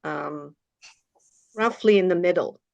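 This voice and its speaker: A middle-aged woman from China with a Chinese accent, introducing herself informally and haltingly as an artist on an online call. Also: fairly low pitch, gravelly, dry recording. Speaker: artist and art teacher. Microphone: online video call. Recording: dull online call audio.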